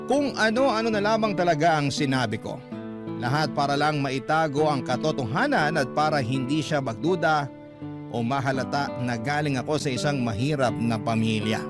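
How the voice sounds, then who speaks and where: A man's voice: mid-range voice, moderate pace. A man narrating a listener's letter in an earnest, confessional tone for a radio program. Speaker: letter reader. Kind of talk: dramatic reading of a listener's letter. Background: loud guitar music.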